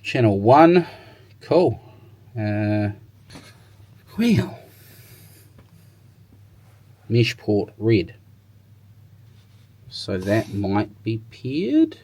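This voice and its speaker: Low-voiced man, casual gear reviewer. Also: very animated, drawn-out words, pauses often, mellow. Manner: informal, thinking aloud. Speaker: motorcycle tech reviewer. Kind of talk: casual hands-on product demo and review.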